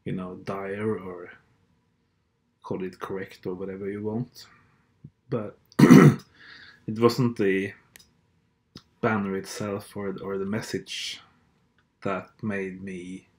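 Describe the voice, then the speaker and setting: A man's voice: low-pitched, flat delivery, slow pace, long pauses, a little mellow. A man whose first language is Norwegian, hosting a podcast in a hesitant, blunt and sardonic monologue full of fillers and restarts. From Norway. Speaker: podcast host. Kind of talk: casual monologue.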